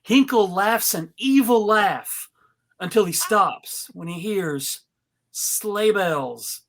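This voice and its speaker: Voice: medium pitch, lively intonation, slow, fairly bright tone. A performer reading out scene directions from a script, with casual asides at a table read. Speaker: reader of stage directions.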